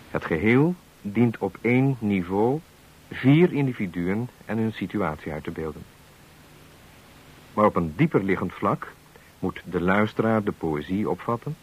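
A man giving a formal, measured, solemn scripted introduction to a radio play as its announcer. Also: on the low side, husky, dull audio. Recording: hissy old radio recording.

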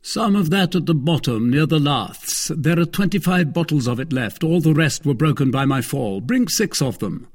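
A proud, sardonic gentleman drinker and swordsman from an adventure storybook, acted out by a man. He declaims in lordly fashion, the pitch raised and swinging widely, with a husky edge. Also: animated, normal speed, few pauses. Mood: hearty, imperious. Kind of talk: storybook character reading.

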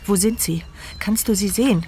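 A woman's voice: mid-range voice, moderate pace, dark tone, soft background music, natural voice. An actress voicing a paralysed, mute woman whose anxious thoughts narrate a radio play. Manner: tense, fearful, introspective. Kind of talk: radio play inner monologue.

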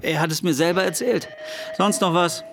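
Radio drama part: a bullish, evasive repairman, terse and dismissive, loud guitar music behind. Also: sits high, moderate pace. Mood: brusque, evasive. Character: gruff, bullish man, evasive bike repairman.

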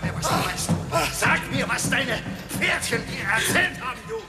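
Shrieking, brutal interrogator in echo. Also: male voice, very high voice, animated, normal speed. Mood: raging. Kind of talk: German radio drama acting. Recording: echoey, distant, under loud music.

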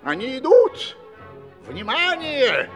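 An actor playing a fussy, pompous royal master of ceremonies with flowery, excitable announcements in a fairy-tale audio play. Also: male voice, very high-pitched, slow, soft background music, heavily acted. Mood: ceremonious, excited.

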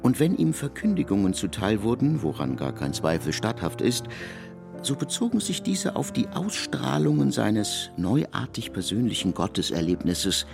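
A man with a very low voice reads literary prose in over prominent music. His intonation moves widely, yet the phrasing stays deliberate and reflective, as in a radio drama narration. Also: vocal fry.

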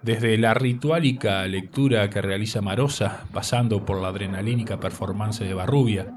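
Guy doing radio narration, measured read, mic a bit far off. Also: fairly low pitch, noisy background. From Uruguay. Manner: measured, reading a prepared text. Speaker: radio presenter.

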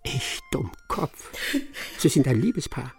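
A man's voice: medium pitch, expressive, takes it slowly, creaky, soft background music. An actor playing a shrewd English detective who mutters his deductions to himself in a theatrical radio drama style. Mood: excited realisation. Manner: muttering, self-talking, theatrical.

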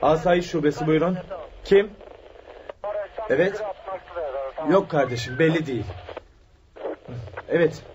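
An actor playing a brusque, easily irritated desk police officer in a radio drama, talking in a curt official way. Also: male voice, on the high side, a little slow, long pauses, close to an everyday voice. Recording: muffled old radio broadcast, crowd murmur.

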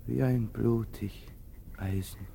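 An actor playing a tormented, brooding man with murder in him in a literary radio drama, speaking in halting, repeated phrases. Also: mid-range voice, fairly even intonation, creaky, warm tone, a little hiss or hum. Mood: brooding, ominous. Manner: halting, repetitive, muttered phrases breaking into frenzy.

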